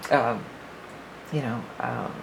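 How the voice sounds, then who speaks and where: A woman's voice: extremely low pitch, slow pace, gravelly, noisy background. A woman giving a casual spoken astrology forecast as a psychic reader, rambling and thinking aloud with many fillers, her voice a little worn.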